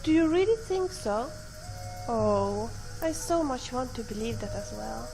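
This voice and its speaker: A woman's voice: fairly high pitch, lively intonation, unhurried, poor audio. A woman voicing an earnest, homesick young companion adventurer in a fantasy video game, speaking sincerely. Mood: heartfelt. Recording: music behind the voice.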